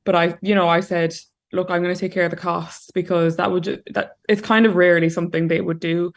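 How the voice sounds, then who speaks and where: A woman's voice: on the low side, level tone, fairly quick. A young Irish woman talking as an interview guest over a video call from a room at home, chatty and full of fillers. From Ireland. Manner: chatty, lots of "like" and "you know". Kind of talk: casual interview talk.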